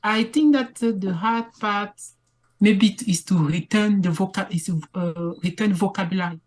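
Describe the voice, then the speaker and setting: A woman learning English, speaking haltingly and restarting words. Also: mid-range voice.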